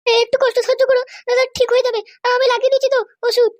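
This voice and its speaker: A man voicing a caring cartoon aunt who coaxes and reassures in a squeaky voice. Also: level tone, very fast. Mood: soothing. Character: caring, soothing cartoon aunt tending the wounded. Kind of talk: amateur comedy cartoon dubbing.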